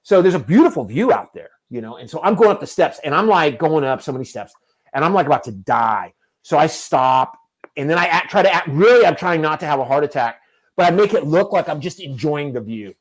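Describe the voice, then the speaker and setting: A man's voice: on the high side, animated, brisk, low-quality recording. A man in his fifties telling long, self-mocking stories in a casual chat as host. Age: 50s. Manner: rambling, self-deprecating storyteller.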